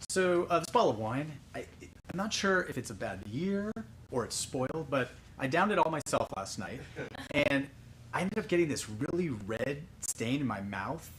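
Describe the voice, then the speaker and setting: A man's voice: on the high side, a bit bright, slight background noise, rough recording. A man playing a rambling, oblivious shopper in a live improv sketch, hesitant and full of fillers.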